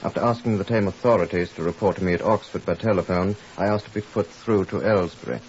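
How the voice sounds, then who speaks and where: A composed police superintendent with a low voice. He is measured, clipped and official, in the manner of 1950s radio drama, on a dull, faintly hissy recording. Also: darkish tone. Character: composed, authoritative Scotland Yard police superintendent.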